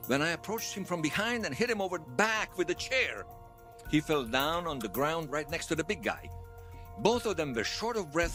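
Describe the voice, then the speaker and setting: An actor playing an earnest, fervent veteran loyalist giving testimony in a fiction podcast, conversational and emphatic. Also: male voice, fairly high pitch, fairly bright tone. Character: earnest male veteran loyalist recounting an uprising, fervent believer. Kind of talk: fiction podcast monologue told as interview testimony.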